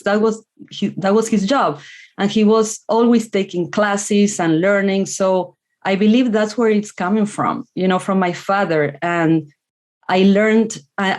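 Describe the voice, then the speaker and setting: A woman's voice: mid-range voice, darkish tone. Earnest Argentine woman, Spanish accent. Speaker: guest author. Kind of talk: interview answers.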